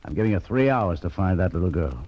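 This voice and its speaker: An actor playing a gruff, suspicious police lieutenant with a brusque, bossy, interrogating manner in 1940s radio style. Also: male voice, low voice, animated, fast. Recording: dull vintage radio recording.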